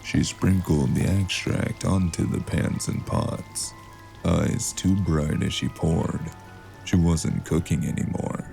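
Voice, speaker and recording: A man playing a brooding noir storyteller, narrating an audio drama deliberately. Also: low-pitched, fairly even intonation, vocal fry, warm tone, faint music behind, heavily acted. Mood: wry, wistful.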